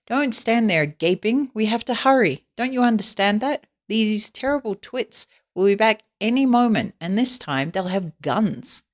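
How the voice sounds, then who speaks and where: A woman voicing a bossy, excitable male monkey ringleader in a storybook, giving urgent orders. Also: mid-range voice, moderate pace, highs cut off, lightly acted. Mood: frantic, urgent. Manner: urgent, shouted commands.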